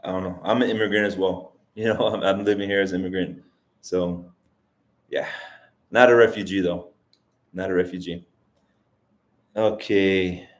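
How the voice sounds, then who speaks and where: A man's voice: deep voice, steady tone, normal speed, pauses often. Rambling man hosting a live Q&A. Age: 40s. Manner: rambling, thinks aloud with frequent restarts. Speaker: livestream host. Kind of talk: casual livestream Q&A. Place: lives in Colombia as an immigrant.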